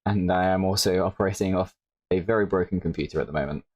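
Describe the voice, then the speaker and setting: A man giving casual, rambling commentary over his gameplay, his voice a little hoarse. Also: deep voice, fast, a little mellow, no echo, muffled recording. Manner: rambling, self-correcting, with frequent "like". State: slightly hoarse. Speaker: Minecraft creator.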